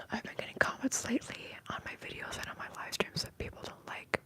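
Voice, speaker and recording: A woman hosting an ASMR live stream, whispering softly as she rambles and greets viewers in the chat. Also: fairly quick. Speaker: ASMR livestream host.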